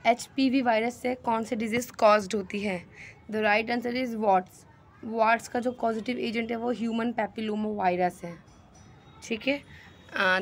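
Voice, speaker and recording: A woman tutoring for a nursing exam, reading each question slowly and then explaining the answer in mixed Hindi and English. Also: on the high side, slight background noise. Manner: mixes Hindi and English, repeats "ठीक है". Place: voice-over on screen recording.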